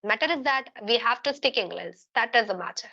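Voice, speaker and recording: Bright high-voiced woman on a call. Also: lively intonation, vocal fry, husky, highs cut off. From India. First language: Hindi. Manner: earnest, advising, halting. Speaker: English practice partner. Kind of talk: casual practice conversation.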